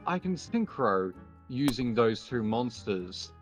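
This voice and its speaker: A man speaking in a measured, understated way as he plays a roleplay card duel and casually announces his moves. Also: medium pitch, big pitch swings. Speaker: card game duelist player. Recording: dull lo-fi mic, faint music behind.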